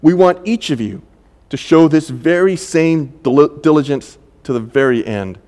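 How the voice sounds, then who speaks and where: An older man preaching a sermon as pastor, conversational and halting, with many fillers and restarts. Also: on the high side, expressive, mellow, big-room sound.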